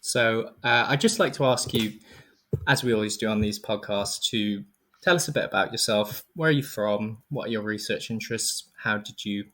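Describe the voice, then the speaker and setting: Male podcast host, low and slightly husky, friendly interviewer. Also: moderate pace, sharp tone. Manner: friendly, asks questions with fillers. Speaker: podcast host, assistant editor.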